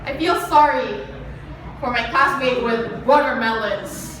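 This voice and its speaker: Young filipino woman doing spoken word, high voice, super echoey noisy venue. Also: unhurried, fairly bright tone, far from the mic. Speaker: spoken word poet performing on stage. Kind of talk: live spoken word poetry recital. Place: live performance venue, heard from a distance.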